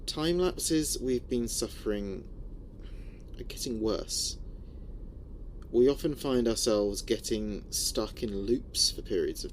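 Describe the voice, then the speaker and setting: A man playing a weary, frightened spaceship crewman recording a log in a sci-fi horror audio drama, speaking gravely in halting, broken phrases with dramatic pauses. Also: fairly low pitch, a little mellow, a little hiss or hum. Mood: weary, anxious.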